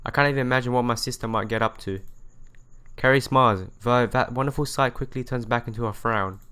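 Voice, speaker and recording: A man's voice: mid-range voice, brisk, sharp tone, clean tone. A man reading visual novel narration and dialogue aloud as a let's play host, stumbling over and rereading the odd phrase, with game music behind him.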